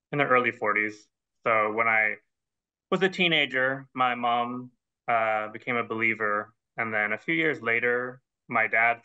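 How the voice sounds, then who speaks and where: A man's voice: medium pitch, sharp tone. A man in his thirties, from the Netherlands, speaking with an American accent as an interview guest over a video call, earnest and explanatory. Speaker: guest scholar. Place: plain indoor room. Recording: dull lo-fi video call audio.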